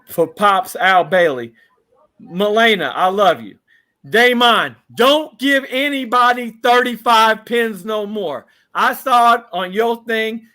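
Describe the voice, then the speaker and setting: Bright-voiced man in his fifties. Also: high voice. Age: 50s. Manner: reminiscing, rattling off shout-outs. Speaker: podcast guest. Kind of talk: casual interview chat.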